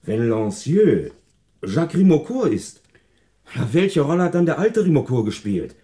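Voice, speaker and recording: An actor playing an eager, slightly impatient junior detective in a German radio crime drama, questioning and a little cheeky. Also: male voice, on the high side, big pitch swings, a little slow, dark tone, echoey room, distant mic. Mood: puzzled, impatient.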